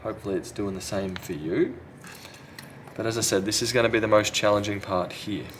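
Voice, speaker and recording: A man's voice: on the low side, a little fast, slight background noise. A man talking casually and thinking aloud as he presents a hands-on repair tutorial. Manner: unscripted, thinking aloud while working. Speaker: repair technician, video host. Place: indoors at a repair workbench.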